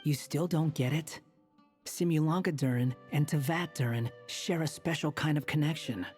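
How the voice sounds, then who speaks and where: Blunt, matter-of-fact young man for a game acted, medium pitch, sceptical and cool, light music underneath. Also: moderate pace, darkish tone, close to an everyday voice. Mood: matter-of-fact explaining. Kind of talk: scripted video game dialogue.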